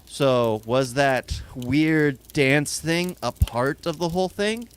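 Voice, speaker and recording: A man's voice: fairly high pitch, slow, clean tone. A young man co-hosting a podcast, casually and earnestly walking through movie scenes in detail. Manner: earnest, explains scenes at length. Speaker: podcast co-host.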